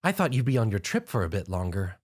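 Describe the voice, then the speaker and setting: A man voicing a timid, gentle female pegasus pony who speaks softly and shyly. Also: fairly low pitch, big pitch swings, fast. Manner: soft, meek and shy.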